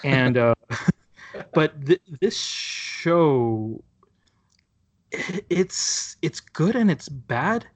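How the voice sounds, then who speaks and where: Man, casual podcast chat, slightly high voice, halting, restarts a lot. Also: sing-song. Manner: rambling, halting with many restarts and fillers. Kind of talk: casual chat reviewing a TV show.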